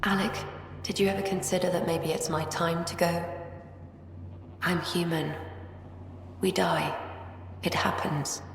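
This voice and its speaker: A woman's voice: fairly low pitch, steady tone, a little slow, long pauses. A woman playing a resigned, frank scientist mother in a video game drama. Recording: roomy hall echo, faint noise.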